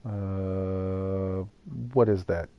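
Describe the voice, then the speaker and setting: A man's voice: deep voice, flat delivery, extremely slow, clear, resonant tone, highs cut off. A man streaming a building game live, thinking aloud and chatting with viewers. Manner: thinks aloud while building, talks to chat. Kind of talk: casual live gameplay chat.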